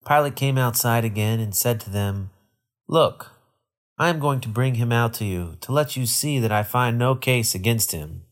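A man reading a Bible narrative aloud in a solemn, measured and formal way. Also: lowish voice. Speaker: scripture reader.

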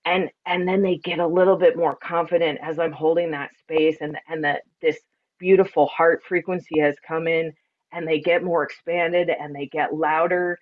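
A woman speaks in a deep, resonant voice with plenty of body. She is reflective and emphatic, explaining things earnestly as in a conversational interview. The audio is somewhat dull and lo-fi. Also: steady tone, moderate pace. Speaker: podcast guest, spiritual sound and ceremony practitioner.

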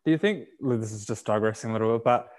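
A man's voice: lowish voice, quick pace. Rambling male interview host. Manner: rambling, loose questions full of fillers. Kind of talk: casual interview chat.

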